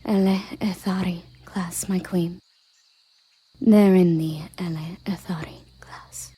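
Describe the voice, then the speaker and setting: A woman voicing a dutiful, formal elven guard captain in a breathy, measured ASMR roleplay whisper. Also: on the low side, steady tone, dark tone, heavily acted. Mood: deferential, concerned. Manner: formal, measured, matter-of-fact.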